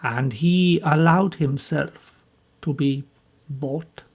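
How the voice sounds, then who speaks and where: A woman reading the part of an older male detective, calm and formal, in a slow, deliberate husky voice. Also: low voice, heavily acted. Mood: grave.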